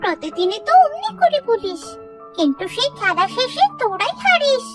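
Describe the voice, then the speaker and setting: Casting: woman as small cartoon sister, extremely high lively voice, caring, slightly distant with music. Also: normal speed. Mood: teasing. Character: cheerful, caring little village girl. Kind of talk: cartoon voice acting.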